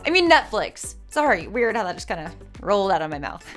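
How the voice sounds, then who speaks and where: A woman's voice: medium pitch, sing-song, brisk, a bit bright. Woman doing snarky video commentary, theatrical fake-sincere, voice swings all over, bit of music under it. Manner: arch, theatrical mock-sincere delivery. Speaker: video essay host. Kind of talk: satirical commentary to camera. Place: indoor studio set with plain backdrop.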